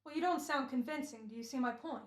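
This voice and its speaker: A woman playing a brisk, fussy male radio director in an old-time drama, bossy and thinking aloud. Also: high voice, lightly acted. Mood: businesslike, fussy. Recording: echoing room, home recording.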